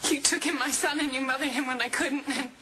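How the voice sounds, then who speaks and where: An actress playing a middle-aged, guilt-ridden mother in a television drama, speaking in a halting, tearful, self-blaming way. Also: sits high, lightly acted. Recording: clearly noisy background.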